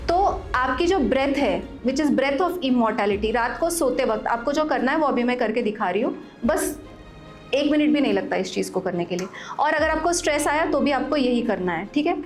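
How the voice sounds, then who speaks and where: A woman in her forties, a wellness coach leading a live workshop, lively, questioning the audience and giving step-by-step instructions while mixing in English phrases. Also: high voice. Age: 40s. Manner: mixes Hindi with English phrases. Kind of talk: live workshop talk to a group.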